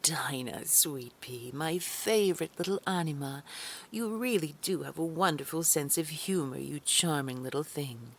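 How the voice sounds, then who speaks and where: Woman as a low, creaky, menacing lady boss. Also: slight background noise. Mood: sugary, condescending. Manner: patronising endearments, slow controlled menace, often breathy near-whisper. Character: haughty, menacing female boss, sugary and condescending. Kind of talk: scripted audio drama.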